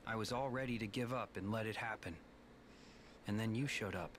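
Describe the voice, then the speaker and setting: A man's voice: on the low side, fairly quick, a bit bright, slight background noise, close to an everyday voice. An actor playing an earnest, weary young male hero in a reflective video game drama. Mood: subdued, reflective.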